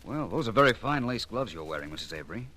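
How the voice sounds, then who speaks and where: An actor playing a calm, courteous, shrewd detective in an old-time radio drama, polished and formal. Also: male voice, fairly low pitch, quick pace. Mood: courteous, probing. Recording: noisy vintage radio show recording.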